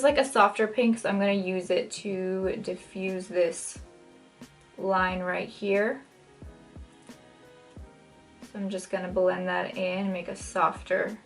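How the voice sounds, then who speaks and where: Young woman, raspy gravelly voice from a dry throat, chatty tutorial talk, soft music behind. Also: medium pitch. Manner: chatty, many "like" fillers, shifts pace often. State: dry throat, maybe catching a cold. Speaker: makeup tutorial host. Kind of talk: casual step-by-step tutorial talk. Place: home, in front of a mirror.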